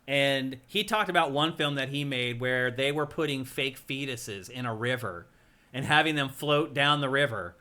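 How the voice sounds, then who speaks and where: An easygoing man with a bright, forceful voice chatting about video games. He tells anecdotes at a relaxed clip and keeps agreeing with his co-host. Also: medium pitch, moderate pace. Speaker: podcast co-host. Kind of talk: casual chat about games.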